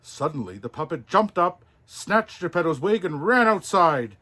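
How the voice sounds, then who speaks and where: A man narrating a children's storybook as Santa, in an expressive, deliberate way. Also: fairly high pitch.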